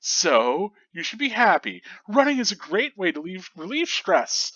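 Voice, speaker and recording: A squealing, shrill little stuffed-toy spirit, mischievous and whiny, bouncing up and down in pitch, as a man puts him on. The voice is bright and sharp, and it sounds dull and lo-fi, like a homemade storybook reading. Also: big pitch swings, measured pace, dry recording, heavily acted. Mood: complaining.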